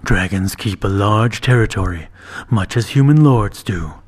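Wise old dragon-knight, deep and unhurried, calm and solemn, read by a male narrator. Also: breathy edge. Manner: slow, measured, solemn.

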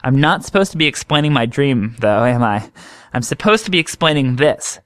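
A man's voice: medium pitch, animated, moderate pace, fairly bright tone, dry recording, pitched above the performer's own voice, natural voice. A man voicing an earnest young man who explains his strange dreams in a thoughtful, reasoning way.

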